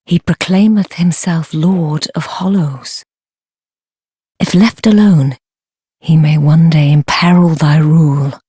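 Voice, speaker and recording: A woman playing a sly, devoted noblewoman in a dark fantasy game, speaking formal archaic English in a low, breathy, measured voice. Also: fairly even intonation, no room sound, studio quality, full character voice. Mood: grave, scheming. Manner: measured, formal archaic speech, deferential yet commanding. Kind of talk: fantasy video game acting.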